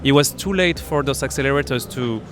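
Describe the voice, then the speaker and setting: French-accented man explaining, halting delivery. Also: mid-range voice, a bit bright, faint music behind. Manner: explanatory, halting, with many fillers and restarts. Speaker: startup accelerator founder, guest. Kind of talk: conversational interview. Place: at a startup event.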